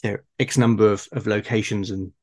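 A man's voice: fairly low pitch, steady tone, brisk, a little mellow. A man talking as a game master in an unscripted discussion, hesitantly repeating words as he forms his thoughts.

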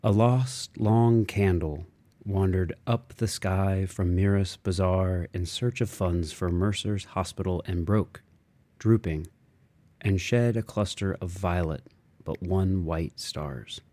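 Low, slow, mellow male narrator. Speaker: reader of a literary novel. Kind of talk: literary audiobook narration.

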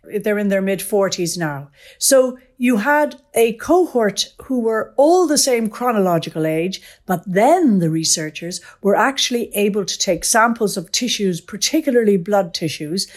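A woman's voice: mid-range voice, lively intonation, unhurried. A woman, a professor, explaining aging research in an interview in an articulate, enthusiastic way.

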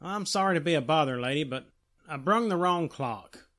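A man playing an apologetic working-class delivery man with rough, folksy speech in an old-time radio drama. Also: a little high, expressive, normal speed, fairly bright tone. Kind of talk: 1940s-style radio drama acting.

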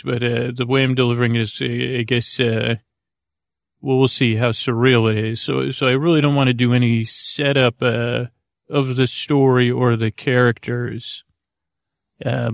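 Creaky-voiced man rambling haltingly. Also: mid-range voice, no echo, studio quality. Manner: halting, hesitant, digressive, with frequent false starts and repetitions. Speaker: podcast host. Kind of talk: rambling, meandering bedtime monologue.

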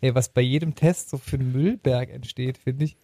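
A man's voice: mid-range voice, fairly even intonation, moderate pace, dark tone, dry recording. A man co-hosting a podcast in casual chat, telling things with emphatic colloquial exclamations. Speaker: podcast co-host.

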